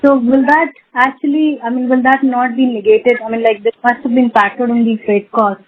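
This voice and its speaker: A woman's voice: fairly high pitch, steady tone, moderate pace. Indian woman analyst on a tinny phone line. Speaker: financial analyst asking questions. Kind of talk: formal question on an earnings call. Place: phone call.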